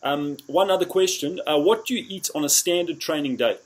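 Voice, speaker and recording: A man's voice: a little high, fairly quick, slightly rough, rough recording. Hesitant male interviewer on a video call. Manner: hesitant, many fillers. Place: indoor room. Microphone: laptop or phone on a video call.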